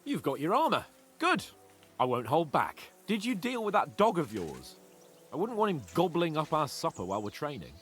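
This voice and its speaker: A man's voice: on the high side, huge pitch swings, moderate pace, fairly bright tone, a little hiss or hum. An actor playing a cocky, teasing young nobleman in a game cutscene, joking and boastful with his friend. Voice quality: playful, mocking.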